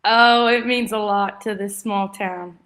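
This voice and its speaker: A woman's voice: medium pitch, fairly even intonation, unhurried, fairly bright tone. A young American woman from Texas chatting as an interview guest, enthusiastic and effusive. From Texas, United States. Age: young adult. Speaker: interview guest, former college soccer player. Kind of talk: casual interview chat.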